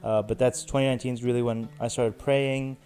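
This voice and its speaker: A man in his twenties, a podcast guest speaking in a measured, reflective way with many "you know"s. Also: mid-range voice, flat delivery, dark tone, faint music behind. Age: 20s. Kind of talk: casual chat.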